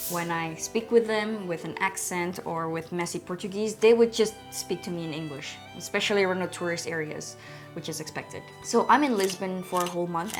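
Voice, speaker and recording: Female online educator, on the lower side in pitch, casual and frank delivery, light music bed. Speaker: language-learning vlogger. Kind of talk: casual instructional talk to camera.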